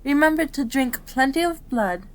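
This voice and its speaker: A woman's voice: high-pitched, animated, moderate pace. A woman playing a cute, caring fox-spirit doctor in an ASMR roleplay, prim, chiding and easily flustered. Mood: kindly.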